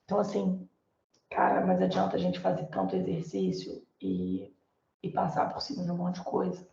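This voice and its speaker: A Brazilian woman teacher with a hoarse voice from a viral illness, lecturing informally in an online class, full of fillers and tag questions. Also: on the low side, big pitch swings, normal speed, mellow. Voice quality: hoarse, gravelly. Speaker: Portuguese teacher. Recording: echoey, dull lo-fi room sound.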